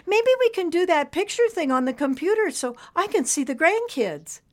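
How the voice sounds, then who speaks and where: A woman reading aloud, voicing an anxious older grandmother who pleads in a high, wavering voice. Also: expressive, moderate pace. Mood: forced cheer. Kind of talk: storybook character voice.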